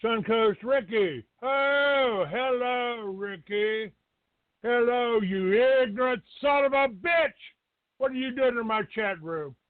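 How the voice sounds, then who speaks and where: High-pitched abrasive man, tinny line. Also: slow pace, no room sound. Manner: abrasive, combative, foul-mouthed. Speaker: host of a call-in show. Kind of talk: live call-in talk show. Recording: tinny phone-grade dry audio.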